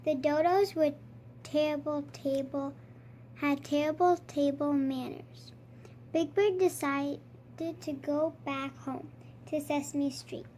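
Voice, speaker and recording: A child with a high voice reads a storybook aloud at an unhurried pace. The delivery is that of a read-aloud for young listeners. There is faint background noise in the recording. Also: fairly even intonation, darkish tone. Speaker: storybook reader.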